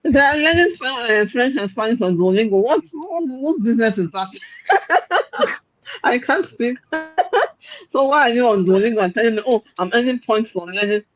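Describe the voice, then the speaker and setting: A Nigerian woman with a high voice talks casually and reflectively, breaking into laughter often. Her sound is close and dry. The audio is a little dull. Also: lively intonation, normal speed, no echo. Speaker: parent in a discussion. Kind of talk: casual chat.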